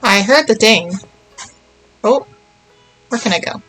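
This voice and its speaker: A woman's voice: a little high, expressive, slow pace, a bit bright, faint music behind, low-quality recording. A woman giving casual, playful video game commentary, thinking aloud and murmuring little nonsense words to herself as she plays.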